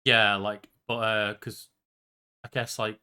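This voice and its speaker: A man's voice: on the low side, little pitch movement, takes it slowly, pushed and bright, clean tone. A man chatting casually and conversationally as a podcast co-host. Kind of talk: casual chat.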